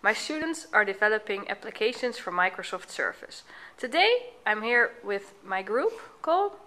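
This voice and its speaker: A woman's voice: medium pitch, sing-song, normal speed, sharp tone. A woman, a teacher interviewing her students and rephrasing their answers back to them. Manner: friendly prompting questions, rephrases answers back. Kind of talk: casual on-site interview.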